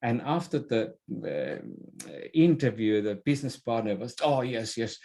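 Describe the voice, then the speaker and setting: A man's voice: mid-range voice, animated, a little slow, mellow. A man speaking as an expert guest in a podcast interview, explaining in a conversational way and repeating words as he thinks.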